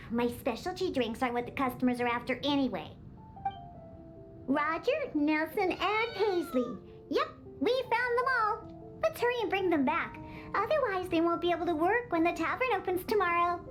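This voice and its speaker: Young cat girl, very high voice, swooping intonation, bossy, indignant, cutesy game character, music behind. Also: animated, moderate pace, a bit bright. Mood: grumbling, then eager, bossy. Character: feisty young cat-girl bartender who despises alcohol. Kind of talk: video game character acting.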